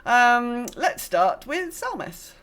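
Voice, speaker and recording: A woman's voice: on the high side, sing-song, slow pace, fairly bright tone. Rambling woman co-host chatting casually about games. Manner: rambling, self-correcting, quotes herself in asides. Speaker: podcast co-host. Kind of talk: casual chat about gaming.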